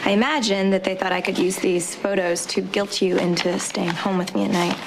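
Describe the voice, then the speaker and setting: A woman's voice: medium pitch, steady tone, brisk, close to an everyday voice. A woman playing a bitter, scheming young wife in a soap opera, cutting and sarcastic. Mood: cold, accusing. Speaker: actor in a TV serial drama. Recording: very noisy background.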